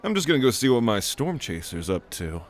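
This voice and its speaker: A man's voice: medium pitch, very animated, a little fast, lightly acted. A man playing a stern, weary mentor. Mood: gruff. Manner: blunt and dry, with weary sighs. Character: stern older male mentor, blunt and weary. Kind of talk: fantasy audio roleplay acting.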